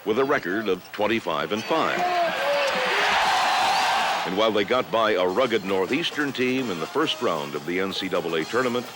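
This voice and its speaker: A man's voice: fairly low pitch, expressive, normal speed. A man narrating a university newsreel from a script, in the manner of a year-in-review report. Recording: music bed under the voice. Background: loud background music.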